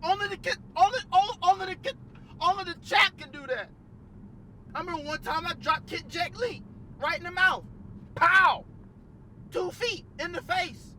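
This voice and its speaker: A woman's voice: very high-pitched, moderate pace, pauses often, sharp tone, slight background noise, low-quality recording. A woman voicing a cocky, trash-talking self-proclaimed wrestling champ who brags and taunts. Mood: bragging. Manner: boastful, swaggering trash talk.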